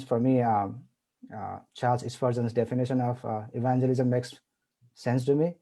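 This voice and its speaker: A man, a pastor, speaking in an earnest, halting way with many uhs on a panel. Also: medium pitch, warm tone. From Nepal. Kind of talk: panel discussion.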